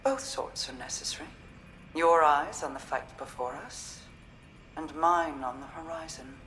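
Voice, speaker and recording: Regal queen, low voice, composed, guarded, formal, game dialogue, faint background noise. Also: fairly bright tone, low-quality recording. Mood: composed, assured. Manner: measured, formal, restrained. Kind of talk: dramatic video game dialogue.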